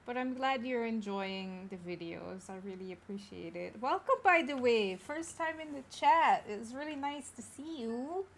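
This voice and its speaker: A woman's voice: on the high side, animated, moderate pace, few pauses. A woman from Belgium with a Flemish accent, whose first language is Dutch, chatting casually with her live-stream viewers and greeting them as she plays.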